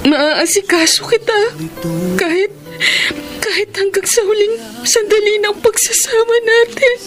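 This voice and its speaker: A high, tearful devoted lover. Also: female voice, a little slow. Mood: tender. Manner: soft, pleading, tearful. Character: Alice, a devoted woman ready to let go. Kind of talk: radio drama acting. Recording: radio drama with music behind.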